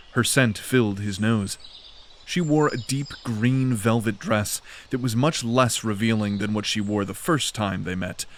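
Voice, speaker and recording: Guy narrating dark fantasy audio drama, calm n measured, soft bug noise behind. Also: medium pitch. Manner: measured, steady storytelling.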